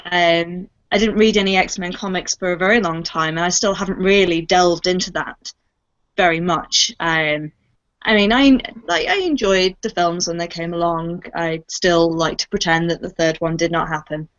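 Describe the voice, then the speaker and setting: Low-voiced young woman, dull lo-fi audio. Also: normal speed, a bit bright. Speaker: cast member on a panel Q and A. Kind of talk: casual fan chat.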